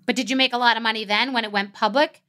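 A woman hosting a podcast, chatty and asking quick follow-up questions. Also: a little high, steady tone, pushed and bright. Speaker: podcast host. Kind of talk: casual interview and read ad.

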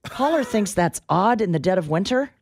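An American woman co-hosts a radio show in a mid-range, very animated voice. She banters casually and comically. Her delivery is teasing, sometimes mock-official. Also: medium pitch, moderate pace, slightly rough.

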